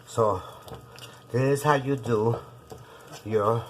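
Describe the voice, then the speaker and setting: A woman's voice: extremely low pitch, slow, slight background noise, poor audio. A woman from Puerto Rico with a Puerto Rican accent, Spanish being her first language, hosting a casual home cooking show in her kitchen and chatting to her viewers as she goes. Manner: chatty, keeps calling out to viewers as guys.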